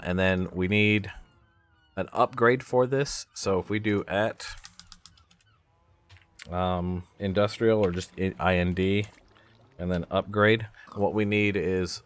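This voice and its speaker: A man with a low voice hosts a gaming video in a casual, unscripted way. He thinks out loud as he plays, talking himself through each step like someone working beside the viewer. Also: steady tone, measured pace, long pauses, dry recording. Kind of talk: casual let's-play commentary.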